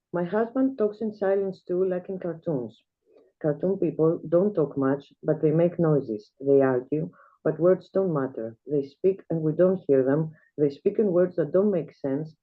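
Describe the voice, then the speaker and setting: A woman from Greece, reading her own flash fiction aloud in a measured, quiet way as a guest reader. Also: fairly low pitch. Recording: tinny video-call audio.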